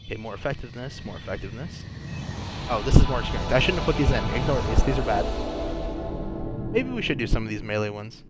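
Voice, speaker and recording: A man's voice: mid-range voice, sing-song, quick pace, pauses often, over loud music. Chatty young man with a sore throat. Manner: chatty, thinking aloud, trailing restarts. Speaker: gaming channel host. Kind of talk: casual gameplay commentary.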